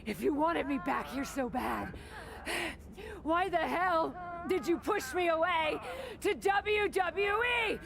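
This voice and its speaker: A woman's voice: high-pitched, expressive, slow, crowd noise, exaggerated voice. An actress playing a hot-headed young female pro wrestler in a video game cutscene, confrontational, emphatic and bitter. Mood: angry, hurt. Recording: clear background noise.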